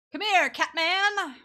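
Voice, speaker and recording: A woman streaming a video game with casual live commentary, giggly and excitable in her reactions. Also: very high-pitched.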